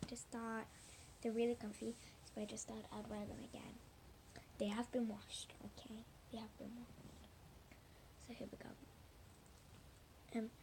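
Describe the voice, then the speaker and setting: A fairly high-pitched woman speaks over clear background noise in an amateur home recording. Her voice is hoarse and giving out, often fading to a breathy whisper. She talks informally and a little apologetically, as if showing something to viewers while she works. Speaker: slime video maker talking to viewers. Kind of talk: casual hands-on demonstration chat to camera. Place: at home indoors.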